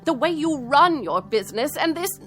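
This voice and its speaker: A woman's voice: sits high, big pitch swings, normal speed, faint music behind. An actress playing a long-suffering, sardonic wife who stands her ground in a radio drama. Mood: fed up. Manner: insistent, sardonic.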